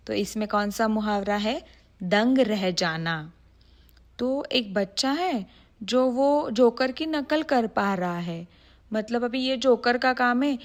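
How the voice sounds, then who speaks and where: A young woman teacher explaining idioms to children, slowly repeating sentences and asking her pupils questions. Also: on the high side.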